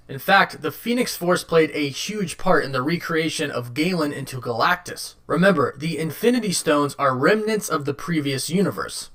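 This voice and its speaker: A man's voice: on the high side, a bit bright. A man hosting a scripted explainer video, speaking emphatically and repeating key phrases. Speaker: video host presenting a fan theory. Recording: music behind the voice. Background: background music.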